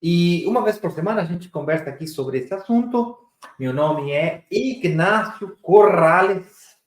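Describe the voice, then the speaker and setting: A man in his thirties with a Chilean Spanish accent hosts a chatty show, his voice a little high and very animated. He backtracks and corrects himself now and then. The sound rings with room echo. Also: measured pace. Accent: Chilean Spanish accent in Portuguese. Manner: chatty, self-correcting, drifts into Spanish words. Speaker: host, real estate broker. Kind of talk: casual presenting to camera.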